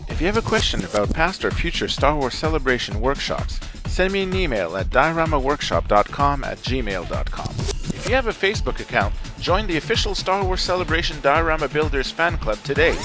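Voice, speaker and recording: A man reading a scripted channel outro in an even, read-out delivery. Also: fairly high pitch. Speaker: channel host. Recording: music bed under voice.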